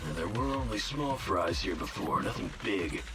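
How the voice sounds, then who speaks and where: A man's voice: fairly low pitch, animated, moderate pace, over music, lightly acted. Man acting a cocky gang boss, swooping delivery. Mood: irritated, pushy. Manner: cocky, slangy, needling. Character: brash, money-minded rough mining gang boss. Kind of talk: English video game voice acting.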